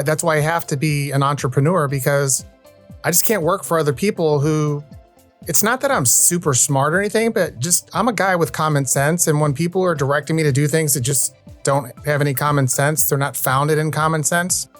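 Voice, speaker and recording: A man's voice: a little high, brisk, soft background music. A man in his fifties hosting a podcast, talking earnestly and at length in a conversational interview style. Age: 50s. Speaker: podcast host.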